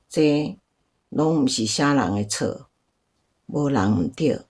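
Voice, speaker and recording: An actress with a Taiwanese accent playing a calm, resigned older woman in a radio drama. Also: a bass voice, very slow, husky, exaggerated voice. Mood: calm, resolute. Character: calm, resigned older woman, a former nun and lost sweetheart.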